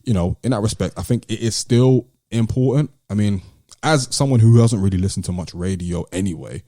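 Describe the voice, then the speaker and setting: A British man co-hosting a podcast, talking in a casual, measured and articulate way with some slang. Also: fairly low pitch, warm tone, dry recording, studio quality. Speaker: podcast host. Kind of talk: casual discussion and commentary.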